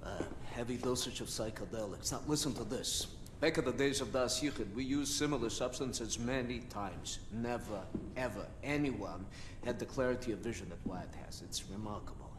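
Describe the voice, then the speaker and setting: A man playing a learned, fussy scientist in a video game, musing in a precise, gentle way. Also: medium pitch, moderate pace, few pauses, natural voice. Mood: fascinated. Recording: long hall reverb, background noise.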